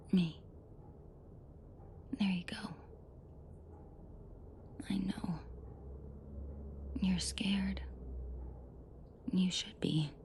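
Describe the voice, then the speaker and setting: Woman acting a low, gravelly female mafia boss. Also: darkish tone, slight background noise. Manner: controlled, commanding, slow and deliberate, often dropping to whispers. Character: female mafia boss, menacing to her men, protective and tender. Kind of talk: scripted roleplay audio drama, intimate direct address to listener.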